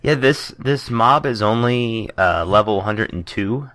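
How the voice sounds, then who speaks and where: Deep-voiced young man, hesitant co-host. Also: lively intonation, unhurried, fairly bright tone. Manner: hesitant, many ums, dry jokes. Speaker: podcast co-host. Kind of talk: casual chat.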